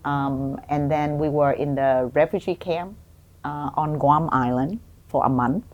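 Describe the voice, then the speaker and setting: Podcast guest: middle-aged woman, low register, Vietnamese accent, halting personal storytelling. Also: steady tone, lo-fi sound. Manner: halting, with many pauses. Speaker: guest, doctor.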